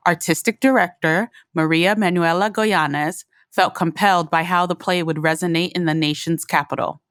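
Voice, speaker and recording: A woman's voice: lowish voice, steady tone, moderate pace, fairly bright tone, clean tone, dry recording, clean studio sound. A woman hosting an audio documentary with scripted narration.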